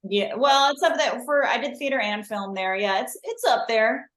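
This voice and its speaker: A woman's voice: on the high side, animated, moderate pace, fairly bright tone. Chatty female podcast host, roomy sound. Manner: chatty, encouraging interviewer. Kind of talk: casual podcast chat. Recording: roomy echo.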